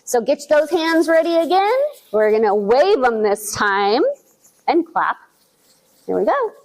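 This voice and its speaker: A woman with a high, bright voice runs a children's storytime, her pitch swooping up and down as she talks to the little ones. She takes her time, drawing out words and asking the kids questions in a sing-song way. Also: big pitch swings, measured pace, low-quality recording. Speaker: children's librarian. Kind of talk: virtual storytime for young children.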